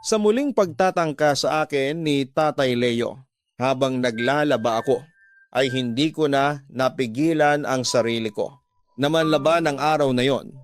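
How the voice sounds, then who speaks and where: A man's voice: mid-range voice, normal speed, clean tone. A man narrating a scripted, emotional first-person story for a radio drama. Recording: music bed behind the voice.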